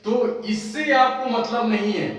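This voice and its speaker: A man's voice: very high voice, unhurried. A young man in his twenties giving a casual coaching lecture, who keeps asking questions and answering them himself. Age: 20s. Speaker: teacher. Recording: echoey far-off room with faint music.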